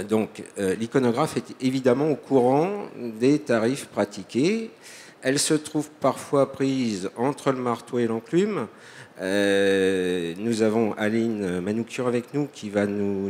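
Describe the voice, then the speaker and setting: A man's voice: medium pitch, unhurried, a little mellow. A man moderating a panel, introducing speakers with stammering restarts. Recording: long hall reverb with faint noise.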